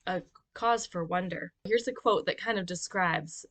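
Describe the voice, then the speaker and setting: A homeschooling mother talking casually on her vlog in a measured, reflective and articulate way. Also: on the low side, fairly bright tone. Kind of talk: casual educational vlog talk.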